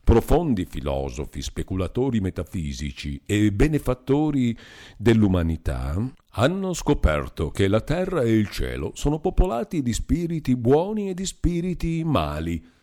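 A man's voice: mid-range voice, huge pitch swings, normal speed, mellow. A man doing a pompous charlatan doctor. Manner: pompous, salesman's patter. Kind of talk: audiobook character voice.

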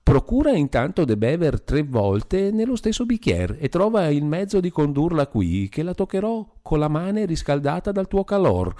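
A man's voice: fairly high pitch, warm tone, voice pushed up. A man doing a singsong Veronese fortune-teller woman. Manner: sing-song, mystical, coaxing. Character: female medium, flirtatious fortune-teller. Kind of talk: audiobook character voice.

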